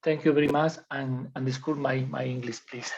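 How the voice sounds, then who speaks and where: A woman from Spain, a non-native English speaker with a Spanish accent, reads out a prepared list as a workshop panelist, haltingly restarting over English words. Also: very deep voice, fairly even intonation, darkish tone, slight background noise. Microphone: video call. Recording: muffled lo-fi video call audio.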